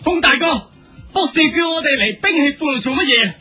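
Young cartoon hero acted by a man: very high, swooping voice, eager and melodramatic, over music. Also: animated, fairly quick, pushed and bright, exaggerated voice. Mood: eager, curious. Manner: exclamatory, melodramatic. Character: eager young cartoon superhero team member. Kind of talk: cartoon parody radio drama.

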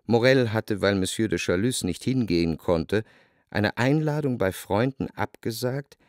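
A man narrating a literary radio play in the first person, measured and composed, in a dry studio. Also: fairly low pitch, expressive, dry recording. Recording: dry close studio sound.

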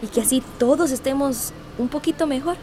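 An actress as a nervous young village woman. Her earnest voice is fairly high, set against clear vehicle noise in a radio drama. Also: fairly quick, mellow, natural voice. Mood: nervous, hopeful. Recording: noisy ambience, vehicle sounds.

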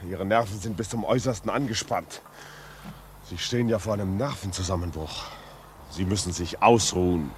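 An actor playing a concerned, educated gentleman visitor in a 1950s German radio drama, urbane and probing. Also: low voice, moderate pace, slight background noise, natural voice. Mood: urgent, alarmed. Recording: muffled-edged 1950s radio drama recording.

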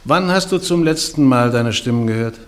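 A church interrogator presses on with question after question, pointed and relentless. A man plays him in an old radio drama. His delivery stays measured and formal. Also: medium pitch, expressive, natural voice. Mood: probing. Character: male church interrogator, probing and insistent. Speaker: trial judge. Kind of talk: German radio play acting.

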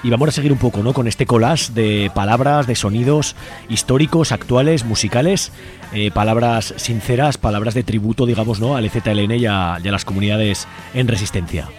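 A man's voice: mid-range voice, brisk, darkish tone, soft background music. A man from hosts live community radio, hesitantly searching for words and adding question tags. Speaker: radio host.

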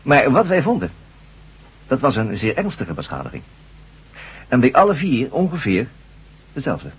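An actor playing an authoritative professor of brain surgery in a radio drama, formal, measured and lecturing. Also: male voice, medium pitch, huge pitch swings, breathy edge, heavily acted. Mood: grave, emphatic. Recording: dull lo-fi old radio recording.

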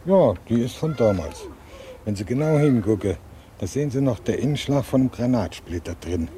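A man's voice: medium pitch, expressive, normal speed, warm tone, close to an everyday voice. An actor playing an earnest older village war veteran who recounts his past plainly, in a southwestern German dialect, in a radio drama. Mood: matter-of-fact. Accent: southwestern German regional dialect. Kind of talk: rustic spoken eyewitness recollection.

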